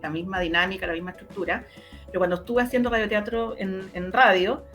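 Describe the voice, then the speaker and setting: A woman's voice: mid-range voice, fast talker, a bit bright, soft background music. A woman with a Chilean accent talking reflectively and expressively in an interview, often repeating words for emphasis. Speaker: interviewee, radio drama and audio story producer. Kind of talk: conversational interview.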